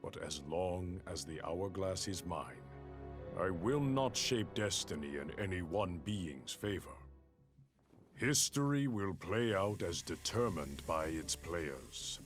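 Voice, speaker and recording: An actor playing a stern, noble warrior emperor in a video game, speaking in a measured, formal, solemn manner. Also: male voice, deep voice, expressive. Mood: solemn, resolute. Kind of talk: dramatic video game monologue. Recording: music behind the voice.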